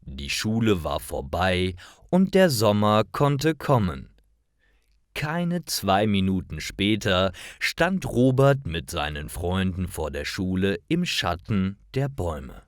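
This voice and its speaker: A man reading scripted stories for language learners with careful, expressive enunciation. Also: low voice, slow pace, no echo, studio quality. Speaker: narrator of stories for German learners.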